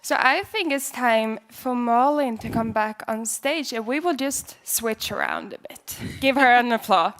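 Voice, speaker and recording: A woman's voice: a little high, lively intonation, unhurried, hardly any pauses, fairly bright tone, slight background noise. A young woman hosting on an auditorium stage, interviewing student contestants with friendly questions. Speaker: event host interviewing contestants. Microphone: handheld mic.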